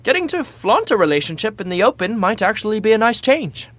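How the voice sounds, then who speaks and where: Lively, flippant young royal heir. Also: female voice, mid-range voice, fairly quick, no room sound. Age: young adult. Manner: sarcastic, casual, exasperated. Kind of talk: naturalistic romantic comedy audio drama acting.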